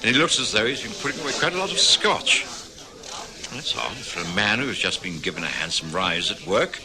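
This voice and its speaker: Earnest doctor companion; low and lively, with crowd murmur behind. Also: male voice. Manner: brisk, friendly, earnest. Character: loyal, good-natured doctor companion and narrator. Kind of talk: 1960s radio drama acting and narration.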